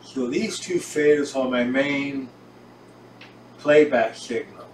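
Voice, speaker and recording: A man talks casually and haltingly, as if showing someone around while he films. His pace is slow and his phrases come in small pieces. The sound is roomy and distant from the mic, with a faint layer of noise. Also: mid-range voice, darkish tone. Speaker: home recording hobbyist presenting own gear. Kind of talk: casual gear tour, narrated while filming. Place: home recording room, indoors. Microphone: camera on tripod, some distance away. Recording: roomy echo, distant mic, hissy background.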